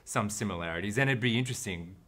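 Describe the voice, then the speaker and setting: A man's voice: lowish voice, quick pace, bright, forceful tone. An Australian man, an actor, talking casually as an interview guest, thoughtful and rambling, with frequent restarts.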